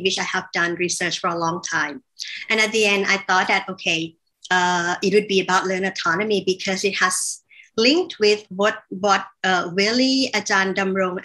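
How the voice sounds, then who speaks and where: A woman from Thailand speaks on a video call as a webinar panelist. Her voice is bright and sharp at a middle pitch. She talks in a halting way, full of fillers and self-corrections as she works through her ideas. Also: poor audio. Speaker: panelist, associate professor.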